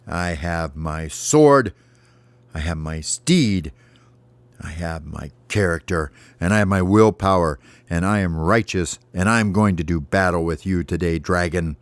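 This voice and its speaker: A man's voice: low-pitched, measured pace, dry recording. A man voicing a brave, cocky baby bird knight in a children's story, with mock-heroic declarations. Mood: righteous, defiant.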